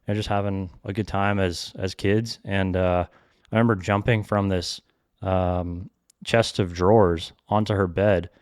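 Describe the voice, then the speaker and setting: A man's voice: deep voice, fairly even intonation, a little slow, a little mellow, clean tone, dry recording, clean studio sound. A man in his twenties from Georgia, hosting a solo podcast and earnestly sharing a personal testimony in a reflective, storytelling way with frequent ums. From Georgia, United States. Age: 20s. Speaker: podcast host.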